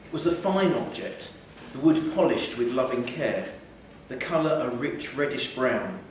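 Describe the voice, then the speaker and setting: A man's voice: mid-range voice, expressive, unhurried. A man reading a literary short story aloud at a live event in a theatre. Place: theatre venue, indoors. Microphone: distant from speaker. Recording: very echoey, distant mic, faint noise.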